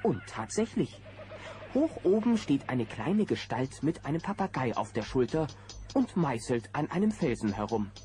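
An actor as the narrator of a children's adventure audio drama, giving scripted dramatic storytelling. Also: male voice, medium pitch, big pitch swings, normal speed, close to an everyday voice. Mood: vivid, suspenseful. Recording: very noisy old cassette transfer.

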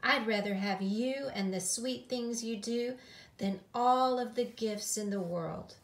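Woman playing an affectionate old granny, slow, high-pitched. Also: a little hiss or hum. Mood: delighted, loving. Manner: gushing, exclamatory. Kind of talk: children's rhyming storybook reading. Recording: roomy echo.

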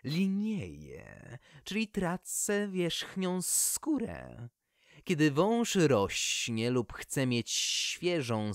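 A narrator voicing a small, gentle male grass snake with polite, drawn-out, careful speech in a children's story. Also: medium pitch, dry recording, studio quality, voice pushed up, exaggerated voice. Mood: curious, patient.

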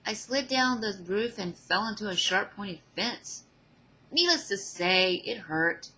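A husky, bright teenage boy superhero, played by a woman in a fan audio drama. He is charming and jokey, full of playful bravado that sometimes turns halting. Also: medium pitch, animated, pitched above the performer's own voice, heavily acted, low-quality recording.

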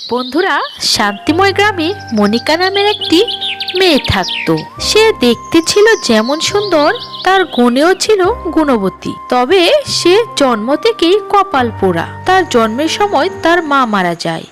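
A woman's voice: high voice, sing-song, measured pace, over music. A woman narrating a scripted cartoon moral story as a storyteller.

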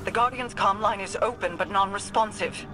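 A woman's voice: mid-range voice, moderate pace, background noise, lightly acted. Regal, grave mystic queen played by a woman. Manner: formal, solemn, dramatic. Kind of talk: video game voice acting. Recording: loud game music behind.

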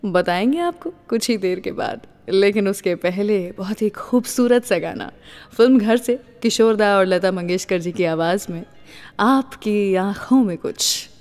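A woman's voice: medium pitch, measured pace, a little mellow. A woman with an Indian accent hosting a radio show, conversational yet scripted, mixing in English phrases and teasing listeners with questions. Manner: mixes English phrases into speech, teases with questions. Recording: long reverb on voice.

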